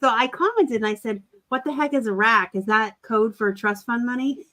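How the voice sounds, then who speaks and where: A woman's voice: fairly high pitch, normal speed, fairly bright tone. A woman in her forties co-hosting a casual live chat, blunt and joking as she tells a story. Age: 40s. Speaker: co-host of a reseller live chat.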